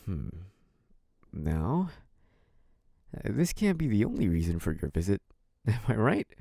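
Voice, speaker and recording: Audio roleplay lead: male actor as young crime-family heir, sly and polite, lively pitch movement, very dry intimate sound. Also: measured pace, vocal fry, no echo, exaggerated voice. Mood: polite, probing. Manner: hesitant and apologetic act, turning smug and taunting. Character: young mafia heir, feigned naive politeness hiding cunning, possessive menace. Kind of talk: dramatic first-person audio roleplay.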